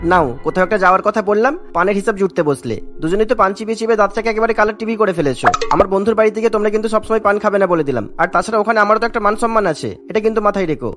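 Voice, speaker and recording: A fussy young cartoon husband, played by a man, firing off nagging instructions at a very rapid clip. The voice is pitched high for a man, with faint music behind it. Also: lightly acted. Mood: exasperated, nagging. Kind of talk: Bengali cartoon voice acting.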